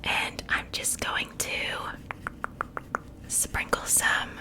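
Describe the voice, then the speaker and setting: A woman voicing a friendly, chatty witch in a gentle, reassuring, unhurried ASMR roleplay. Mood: gentle, approving. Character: friendly, chatty witch seasoning a captive for stew.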